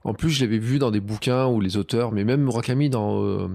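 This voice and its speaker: A man hosting a casual podcast conversation, chatty and animated in his storytelling. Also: on the low side, brisk, gravelly, no room sound. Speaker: podcast host.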